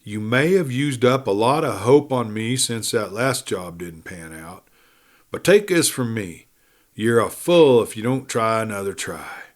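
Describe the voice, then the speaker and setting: A man's voice: lowish voice, animated, a little slow. A man voicing a direct, persuasive prospector in his prime in a western audiobook, with rustic speech. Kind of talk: western audiobook character acting.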